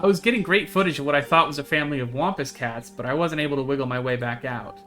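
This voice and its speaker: A man's voice: medium pitch, fast talker, pushed and bright, faint music behind, lightly acted. A man voicing a chatty, carefree young woman in a visual novel, in a breezy, rambling storytelling manner. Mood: breezy recounting. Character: chatty, carefree young woman who hikes hunting cryptids.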